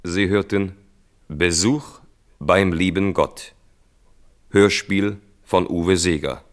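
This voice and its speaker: A male announcer delivers scripted radio drama credits. His voice sits deep, and his delivery is very slow and measured. The tone stays formal throughout.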